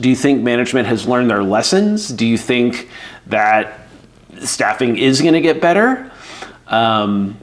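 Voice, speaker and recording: A middle-aged man from the United States, an air traffic controller giving casual, candid career advice with lots of fillers. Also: medium pitch. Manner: conversational, acts out quoted voices, frequent fillers. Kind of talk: casual explainer video.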